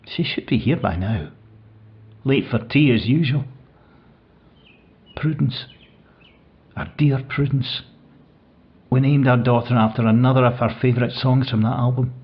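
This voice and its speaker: An actor playing an older widowed man in an audio play, grieving and tender, speaking in a hushed, halting, reflective way with a husky voice. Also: medium pitch, highs cut off. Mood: wistful, tender. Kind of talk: audio play dramatic monologue.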